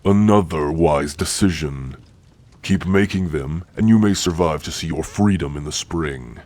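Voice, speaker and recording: A man voicing a stern, gruffly caring orc warrior-healer in an ASMR roleplay, speaking in a formal, commanding way. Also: rumbling low, measured pace, darkish tone. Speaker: roleplay voice actor.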